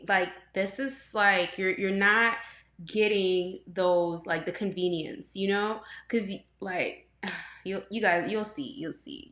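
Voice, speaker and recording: A young woman from Trinidad and Tobago hosting a reaction video, talking casually and rambling, full of fillers, restarts and quick laughs. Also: medium pitch, fairly bright tone. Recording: roomy echo.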